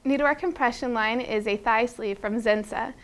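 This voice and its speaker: Bright young woman reading product rundowns. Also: on the high side, moderate pace. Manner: upbeat, reads from a script with small slips. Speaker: retail product presenter. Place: studio with a plain grey backdrop. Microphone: lapel mic.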